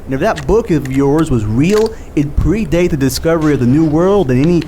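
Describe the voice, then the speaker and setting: A man playing an excitable, argumentative skeptic in an audio drama, stammering and exasperated in an informal way. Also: on the high side, a little fast, slight background noise, natural voice. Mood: challenging.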